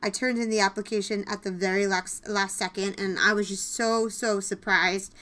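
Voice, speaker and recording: Bright-voiced, excitable woman podcast host. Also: mid-range voice, normal speed. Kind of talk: casual podcast chat with announcements.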